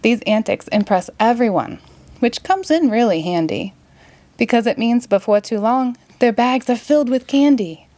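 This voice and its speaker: A woman with a Boston accent reading a rhyming children's story aloud in an expressive, playful way. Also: on the high side, normal speed. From Massachusetts, United States. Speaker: storybook reader.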